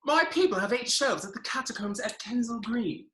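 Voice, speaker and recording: A woman's voice: on the high side, expressive, normal speed, a bit bright. A woman playing a gloomy, self-pitying, lovelorn army captain in a Victorian farce reading, melodramatic, recorded in an echoey room. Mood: morose. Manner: mournful, melodramatic.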